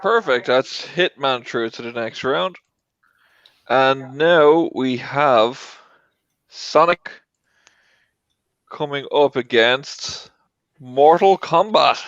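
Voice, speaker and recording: A man's voice: mid-range voice, drawn-out words, long pauses, a bit bright, no echo, muffled recording. A man hosting a film tournament talk show with casual banter, introducing and moving things along.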